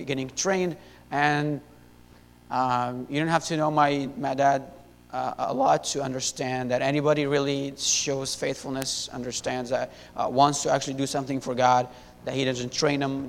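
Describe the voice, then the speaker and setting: A young man in his twenties from Syria, giving a halting church testimony full of fillers and restarts into a handheld mic. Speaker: missionary. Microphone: handheld wireless mic. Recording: echoey hall, faint rumble.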